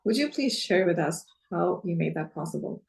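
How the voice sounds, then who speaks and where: Brief: woman hosting an online panel, lowish voice. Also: animated, normal speed, creaky. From Pakistan. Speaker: webinar host and chief program officer. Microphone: video call.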